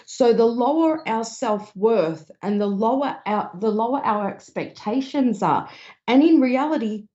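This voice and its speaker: A woman hosting a psychic advice show, a little hoarse and talking earnestly with many fillers. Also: a little high, lively intonation, unhurried, mellow. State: slightly hoarse, losing her voice. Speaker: psychic radio host. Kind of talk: casual advice talk. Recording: dull lo-fi stream.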